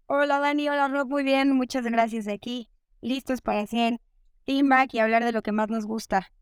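A woman's voice: fairly high pitch, fairly even intonation, moderate pace, clear, resonant tone, dry recording, studio quality. A young adult woman co-hosting a podcast, telling stories in a cheerful, chatty way. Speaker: podcast co-host. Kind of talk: casual chat.

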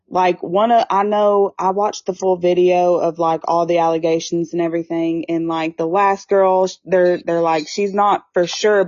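A woman in her twenties gives her opinion on a casual podcast. She speaks bluntly, swears freely, and keeps her voice lowish. The sound is dry and dull, like a cheap mic. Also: darkish tone, dry recording. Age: 20s. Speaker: podcast co-host.

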